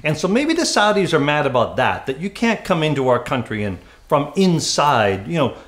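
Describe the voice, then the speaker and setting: A man's voice: on the high side, very animated, normal speed, a bit bright. A man co-hosting a talk show with opinionated, informal commentary full of rhetorical questions, recorded with a roomy echo. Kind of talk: opinionated conversational political commentary.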